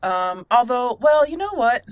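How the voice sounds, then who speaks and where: A woman's voice: fairly high pitch, big pitch swings, a little slow, dry recording. A woman hosting a roleplay game playthrough, giggly and theatrical, slipping between commentary and in-character speech. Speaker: gameplay channel host. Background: faint game music.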